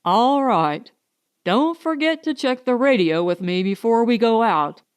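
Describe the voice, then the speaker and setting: Woman voicing a blunt protective spaceship captain husband, measured. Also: a little high, animated, clean tone. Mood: firm, instructing. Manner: instructing, blunt.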